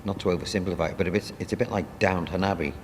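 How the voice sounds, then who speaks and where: Very deep-voiced man reminiscing. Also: long reverb tail, slight background noise. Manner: halting, conversational. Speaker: playwright and producer. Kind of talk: interview reminiscence.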